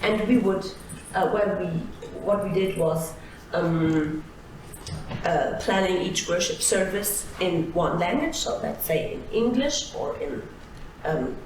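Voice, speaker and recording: A woman talking on a seminar panel, halting and restarting her sentences with frequent fillers. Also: on the low side, darkish tone. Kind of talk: conversational panel talk. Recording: echoey hall, distant mic, faint noise.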